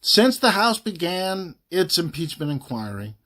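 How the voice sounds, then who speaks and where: A man reading a formal legal document aloud in a measured, emphatic way, pausing phrase by phrase. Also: high-pitched, sing-song, a bit bright. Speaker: reader of a government report.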